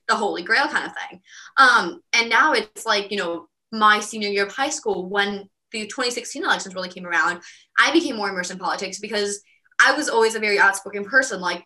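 A young woman from Venezuela, a podcast co-host, telling her own story in a casual, animated way full of "like" fillers. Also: mid-range voice. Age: young adult. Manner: fast, filler-heavy, animated with frequent "like".

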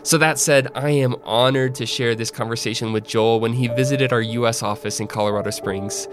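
A man hosting a podcast interview, reading a measured scripted intro and then asking conversational questions. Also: medium pitch, steady tone, over music. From Northwest Arkansas. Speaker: podcast host.

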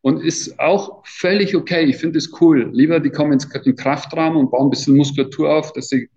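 A man's voice: on the high side, a little fast, a little mellow. Man in his fifties, dull recording. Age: 50s. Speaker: athletic trainer and interview guest. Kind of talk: casual interview talk. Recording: dull, lo-fi audio.

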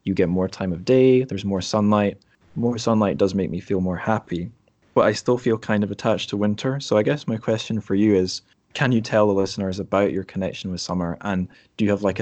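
A low-pitched man with a Scottish accent hosts a podcast interview. He talks casually and ramblingly, and the sound is close and dry, with little room around it. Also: steady tone, a little fast, a little mellow, no echo. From Dundee, Scotland.

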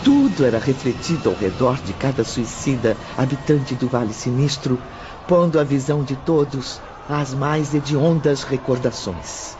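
An actor playing a solemn, knowing narrator in a radio drama, with formal, measured diction. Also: male voice, mid-range voice, lively intonation, noisy background, lightly acted. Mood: grave. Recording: muffled radio drama with loud music.

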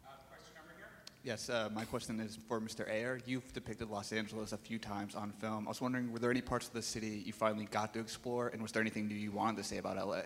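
A man's voice: on the low side, steady tone, fast, slight background noise. A man in the audience asking a question, hesitant at first. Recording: distant voice in reverberant hall.

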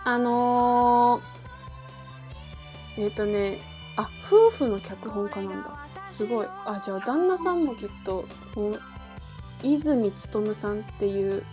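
A woman with a fairly high voice hosts a relaxed book podcast over music. She talks casually and chattily, often working out her thoughts aloud as she speaks. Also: slow pace. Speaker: podcast host; singer.

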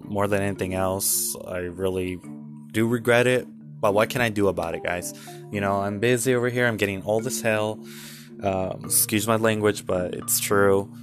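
A man in his twenties talking candidly in a casual vlog, rambling with many fillers and restarts. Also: fairly low pitch. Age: 20s. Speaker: vlogger.

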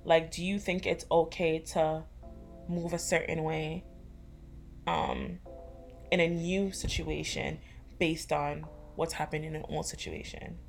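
Casting: young Toronto woman, low-pitched, blunt and slangy, music faint underneath. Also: flat delivery, a little slow, gravelly. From Toronto, Canada. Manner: blunt, slangy, heavy use of "like". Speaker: podcast co-host. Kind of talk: casual chat.

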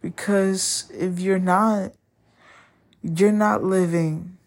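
A woman whose first language is Spanish, hosting a podcast and talking candidly about a breakup, often pausing to find her words. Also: mid-range voice, fairly even intonation. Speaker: podcast host. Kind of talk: personal monologue.

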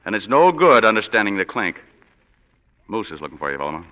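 An actor playing a wisecracking, hard-boiled private detective with dry, clipped narration, in 1940s radio acting. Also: male voice, lowish voice, big pitch swings, a little fast, pushed and bright. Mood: accusing. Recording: dull reverberant 1940s radio broadcast.

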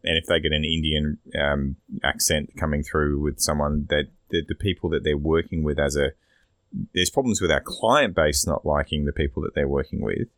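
A man's voice: extremely low pitch, normal speed, a bit bright, dry recording, clean studio sound. A man with an Australian accent speaking as a podcast host in a casual, rambling, opinionated discussion. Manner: rambling, sweary.

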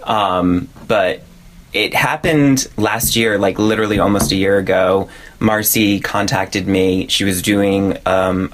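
A man with a notably low voice talks casually as a guest on an interview. He tells his stories in a chatty, animated way, and he is from New Jersey. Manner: chatty, animated retelling with laughs. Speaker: ballet dancer, interview guest.

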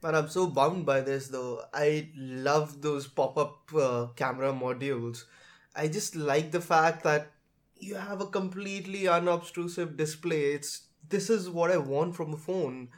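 A man's voice: a little high, fairly even intonation, a little slow. A man hosting a casual tech podcast, talking in an informal, conversational way. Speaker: podcast host.

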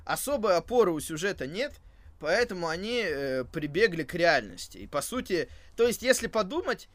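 Guy on a podcast, voice a bit high, casual, keeps restarting as he thinks, no room sound. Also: very animated, normal speed, a bit bright, no room sound. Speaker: co-host of a wrestling podcast. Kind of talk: casual chat.

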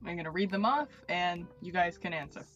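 A woman's voice: fairly low pitch, a little fast, a bit bright. Woman podcast host, upbeat, encouraging, slightly dull lo-fi sound, faint music. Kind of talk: casual chat.

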